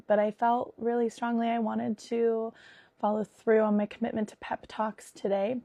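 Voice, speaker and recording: Woman host, 30s, raspy from a cold; warm, dark tone, close and dry; earnest, restarts a lot. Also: medium pitch, no echo. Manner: earnest, animated, with frequent fillers and restarts. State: hoarse from a cold. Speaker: podcast host and spiritual business coach. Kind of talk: casual spoken monologue.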